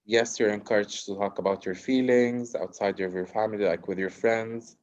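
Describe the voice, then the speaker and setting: A man from Cyprus answering as a panelist in a relaxed, reflective way, speaking over a video call. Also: lowish voice, moderate pace, lo-fi sound. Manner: reflective, plain-spoken, frequent "you know". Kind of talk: casual conversational answer. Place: at home in Gaza.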